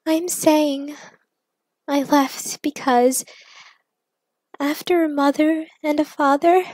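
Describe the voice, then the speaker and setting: Young heroine for audio story, played by a woman: high, slow, halting, tearfully earnest, confessing to a lost love. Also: a little mellow, no echo. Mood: tender confession. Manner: halting, emotional, pleading.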